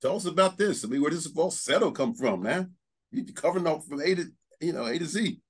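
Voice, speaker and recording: A man's voice: on the high side, lively intonation, fast, breathy edge. A middle-aged man hosting a casual interview in a small studio, chatty and enthusiastic, speaking into a studio mic. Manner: chatty, enthusiastic, many "you know"s. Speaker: show host. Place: studio with banner backdrop. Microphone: studio mic on stand.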